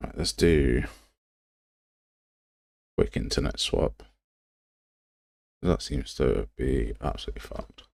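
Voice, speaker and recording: A man's voice: a bass voice, animated, slow, creaky, long pauses, darkish tone, dry recording. A man giving a casual live tutorial, talking to himself as he works and sounding self-deprecating. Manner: muttering to himself while working. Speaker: game development livestreamer.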